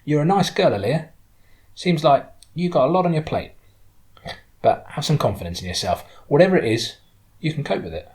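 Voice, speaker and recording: A man voicing a cheerful Cornish woman, pitched up and lively. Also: normal speed, long pauses, natural voice. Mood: kindly, reassuring. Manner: chatty, warm, direct, questioning. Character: cheerful, easy-going, kindly Cornish woman driver.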